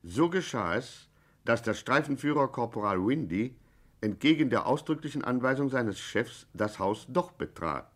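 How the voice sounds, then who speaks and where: Man as deadpan narrator, mid-range voice, composed, old radio crime satire. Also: mid-range voice, moderate pace, faint music behind, close to an everyday voice. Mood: composed, ironic. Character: deadpan story narrator, mock-official and composed. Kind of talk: scripted radio play narration.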